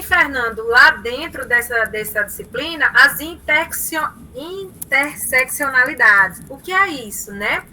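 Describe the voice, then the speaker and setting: Bright-voiced woman with a Paraíba accent. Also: high-pitched, slight background noise, rough recording. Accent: Northeastern Brazilian, from Paraíba. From Paraíba, Northeast Brazil. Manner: animated storytelling, frequent "né?" tags. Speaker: university lecturer. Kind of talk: lively online class lecture.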